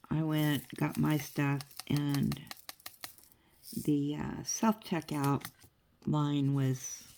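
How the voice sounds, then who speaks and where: Slow, gravelly older woman chatting. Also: rumbling low, mellow. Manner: rambling, chatty, laughs often. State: stuffy nose. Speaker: crafting vlogger. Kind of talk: casual chatty vlog.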